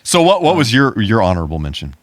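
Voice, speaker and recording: A man's voice: on the low side, wildly swooping pitch, quick pace, no room sound. A man co-hosting a podcast in casual chat, easygoing and agreeable as he responds. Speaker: podcast co-host.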